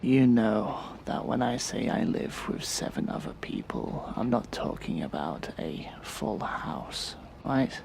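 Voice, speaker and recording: Male actor as resentful thirty-year-old man, gravelly fry, sardonic, audio drama monologue, slight background noise. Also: fairly low pitch, animated, a little mellow, full character voice. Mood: frustrated. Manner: bitter, sardonic, deliberate.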